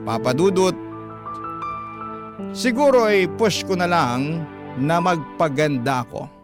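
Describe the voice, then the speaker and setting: A man's voice: on the high side, lively intonation, takes it slowly. A man narrating a scripted first-person radio story in a schoolgirl's words, confiding and dramatic. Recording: music behind the narration.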